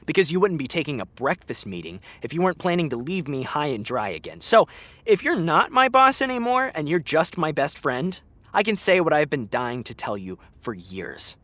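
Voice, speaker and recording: A man's voice: on the high side, big pitch swings, normal speed, fairly bright tone, no room sound. An actor playing a frank, exasperated young man talking to his best friend in a naturalistic, conversational audio drama style. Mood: blunt, exasperated.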